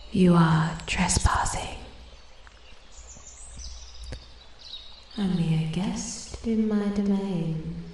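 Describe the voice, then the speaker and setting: A woman voicing an ancient female forest spirit in soft-spoken ASMR roleplay, breathy and often whispering, formal, intrigued and quietly menacing. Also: on the low side. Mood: musing, condescending. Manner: slow, deliberate, archly formal. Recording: echoey, with steady ambient forest noise. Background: forest ambience, birds and animal sounds.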